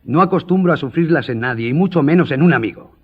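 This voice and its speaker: An actor playing a proud, composed gentleman journalist in a radio drama, speaking formally and firmly. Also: on the high side, expressive, breathy edge, highs cut off. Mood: firm, offended. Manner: formal, measured, firm. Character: proud, composed male newspaper journalist, duel-ready gentleman.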